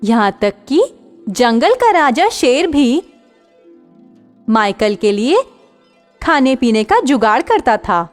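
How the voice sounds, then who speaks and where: A woman narrating a children's cartoon story in an expressive storytelling manner. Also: fairly high pitch, slow pace, long pauses, in a large hall, soft background music.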